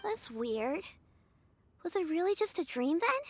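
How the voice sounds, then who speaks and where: A woman's voice: extremely high pitch, sing-song, a little slow, full character voice. A woman voicing a sweet, earnest young girl in an anime video game, with childlike informal speech. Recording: game music behind the voice.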